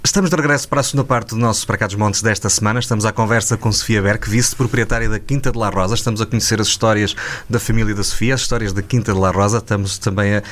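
A man with a fairly low voice and a Portuguese accent hosts a radio interview. He talks conversationally, building long, explanatory sentences as he leads into his questions. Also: fairly even intonation, racing, a bit bright.

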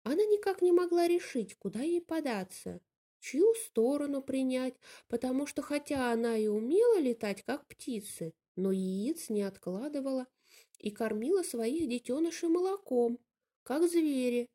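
A woman with a slightly husky voice, telling a fairy tale aloud as an expressive storyteller. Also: sits high, moderate pace.

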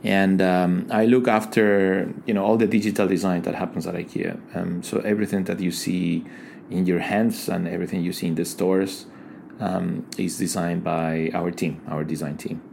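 Man, fairly low voice, halting and reflective. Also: little pitch movement, vocal fry, darkish tone, slight background noise. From Ecuador. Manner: thoughtful, halting, many fillers and restarts. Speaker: guest, digital design leader. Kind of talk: casual interview conversation.

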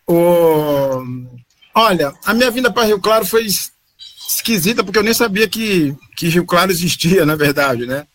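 A man's voice: sits high, animated, normal speed, a bit bright. Man rambling through a story. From Salvador, Bahia, Brazil. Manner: rambling, frequent "né?" tags and self-corrections. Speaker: interview guest. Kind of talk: casual storytelling interview. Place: home room. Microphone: video call from home.

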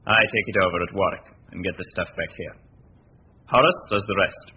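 An actor playing a commanding gang boss in a 1940s radio crime drama, with drawn-out, emphatic delivery. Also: male voice, deep voice. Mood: businesslike. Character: male gang boss called Doc, commanding, relishing secrets. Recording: dull, noisy vintage radio recording.

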